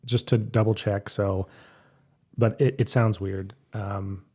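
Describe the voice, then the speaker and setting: A man's voice: lowish voice, steady tone, slow, mellow. A young adult man co-hosting a podcast in casual chat, laid-back and hesitant with frequent fillers. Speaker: podcast co-host.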